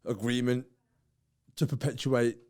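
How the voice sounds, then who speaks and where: A man co-hosting a casual podcast chat, his voice dark and mellow. He rambles through long asides and laughs a lot. The sound is close and dry, with no room around it. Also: mid-range voice, flat delivery, measured pace, no room sound. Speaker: podcast co-host.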